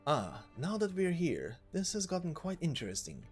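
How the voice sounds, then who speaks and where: Man as old-style game-story bard: dramatic, measured, rhetorical, widely varied pitch over faint music. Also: wildly swooping pitch, husky, full character voice. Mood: musing. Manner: rhetorical, measured, archaic phrasing. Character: theatrical old-style storyteller recounting a melancholy tale.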